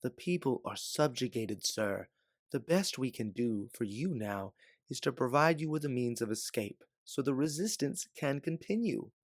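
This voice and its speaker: A man's voice: medium pitch, lively intonation, normal speed, no room sound, clean studio sound. A man voicing a pragmatic woman resistance fighter who reasons firmly. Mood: firm urging. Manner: firm, reasoning.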